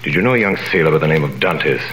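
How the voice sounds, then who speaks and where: A solemn, mysterious stranger in an old radio play, his voice very low yet bright and forceful. He speaks in a measured, grave way. The sound is an echoey vintage broadcast with faint hiss. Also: lively intonation. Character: grave mysterious stranger, the returned Dantes in disguise. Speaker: narrator and lead actor. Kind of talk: 1930s radio drama acting.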